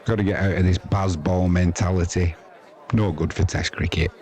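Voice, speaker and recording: Bloke with a low voice doing laid-back chatty cricket commentary, bit of crowd behind him. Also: male voice, steady tone, normal speed, a little mellow. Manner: chatty, informal, rambling. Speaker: live sports commentator and stream host. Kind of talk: casual cricket commentary with chatty asides to viewers.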